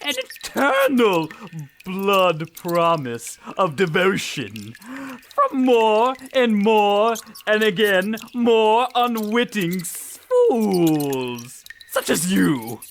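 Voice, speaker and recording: A woman's voice: medium pitch, sing-song, very slow, few pauses, slight background noise, heavily acted. A woman playing a menacing, grandiose Rat King, a talking rat monster, in a comedic horror radio play. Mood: menacing, gloating. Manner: grandiose, villainous, swooping.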